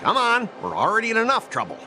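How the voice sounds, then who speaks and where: A self-important young merchant in a game, flustered and whiny. His bright voice swings widely as he delivers sarcastic complaints. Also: extremely high pitch, huge pitch swings, quick pace, faint music behind, exaggerated voice. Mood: grudging. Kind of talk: video game voice acting.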